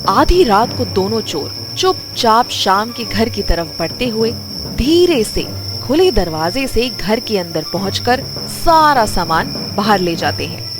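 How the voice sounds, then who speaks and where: A woman's voice: mid-range voice, big pitch swings, unhurried. A woman reading scripted narration for a children's bedtime story. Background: music.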